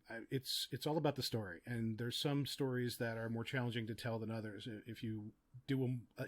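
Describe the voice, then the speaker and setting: Thoughtful middle-aged man being interviewed. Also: medium pitch. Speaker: podcast guest, audio drama writer and producer. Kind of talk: casual, reflective interview talk.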